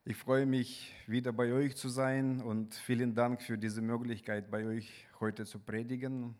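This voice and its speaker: A man from Ukraine with a Ukrainian accent, preaching in a halting, deliberate manner with pauses mid-sentence. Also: medium pitch, level tone, a little mellow. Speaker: guest preacher. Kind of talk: sermon with scripture reading.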